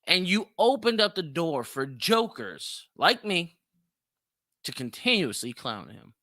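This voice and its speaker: A man's voice: a little high, sing-song, slow, pushed and bright, no echo, clean studio sound. A man hosting a solo opinion show, speaking bluntly and profanely with mocking, emphatic repetition. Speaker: podcast host.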